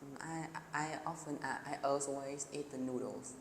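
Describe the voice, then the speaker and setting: A woman student from Vietnam speaking halting English with a Vietnamese accent and hesitant fillers. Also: a bass voice, steady tone. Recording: echoey hall with room noise.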